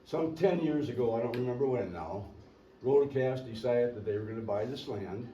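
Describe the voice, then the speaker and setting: An older man, a local resident, telling a rambling, folksy history at a public meeting and clearing his throat now and then. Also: medium pitch, dark tone. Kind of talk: storytelling public comment. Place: community meeting room. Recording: echoey meeting room, distant mic, faint hiss.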